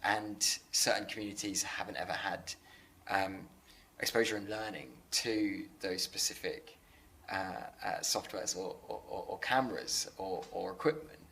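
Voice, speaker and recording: A man in a conversational interview, speaking earnestly and haltingly, often repeating words as he works through a thought. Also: low-pitched, vocal fry, fairly bright tone, slight background noise, rough recording. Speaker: interviewer and business leader.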